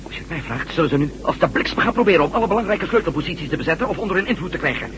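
An actor playing a brash, impatient astronaut turned reporter in a science-fiction radio drama, blunt and sarcastic. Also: male voice, a little high, lively intonation, rapid-fire, fairly bright tone. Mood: urgent, fired up. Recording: slightly noisy old radio recording.

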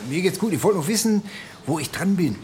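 Radio drama: awkward, deferential younger man, halting and unsure, lightly raised pitch, faint background ambience. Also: animated, darkish tone, a little hiss or hum. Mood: hesitant. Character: hesitant, awkward younger male nephew seeking promotion. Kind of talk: naturalistic radio drama acting.

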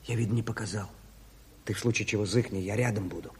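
An actor playing a plain-spoken, loyal, rough-edged Bolshevik comrade in a Soviet radio drama, earthy and blunt. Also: male voice, lowish voice, fast talker, background noise, natural voice. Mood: confiding. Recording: reverberant studio radio play recording.

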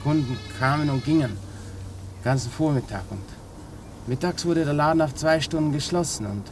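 A man's voice: fairly high pitch, normal speed, close to an everyday voice. An actor playing a cornered male criminal confessing to an inspector in a 1950s radio crime drama. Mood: matter-of-fact confessing. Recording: noisy old 1950s radio recording.

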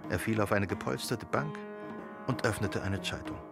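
An actor playing the narrator of a radio play, reading literary prose in a measured way. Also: male voice, lowish voice, vocal fry. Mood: measured, subdued. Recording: music with sitar behind.

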